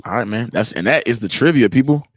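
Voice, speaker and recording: A man's voice: mid-range voice, animated, fast talker, no echo, clean studio sound. A man in his twenties hosting a casual podcast chat, slangy and laid-back, laughing often. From Haiti. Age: 20s. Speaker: podcast host.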